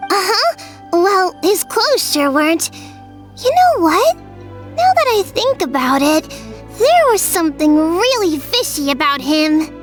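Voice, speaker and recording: A woman's voice: very high-pitched, big pitch swings, takes it slowly, soft background music, full character voice. A woman voicing a small, friendly, earnest village child in a video game, chatty and open. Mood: thoughtful. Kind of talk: video game character acting.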